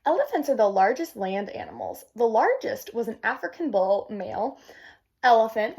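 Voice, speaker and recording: A woman reads a nature book aloud for children in a fairly high voice with a husky edge. Her pitch moves around a lot as she goes. She slips between reading the text and casual, teacherly remarks to her young listeners. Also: darkish tone. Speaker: read-aloud presenter.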